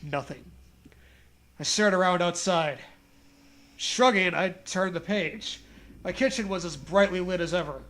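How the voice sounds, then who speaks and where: A man's voice: high voice, fairly bright tone. A man reading a horror story aloud as comedy, with casual, joking banter and a readiness to laugh.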